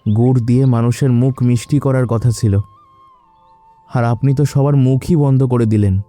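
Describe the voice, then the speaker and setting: A man's voice: medium pitch, steady tone, normal speed, warm tone, no echo, soft background music, natural voice. A man playing a reproachful, preachy young sidekick of a village cheat in a cartoon.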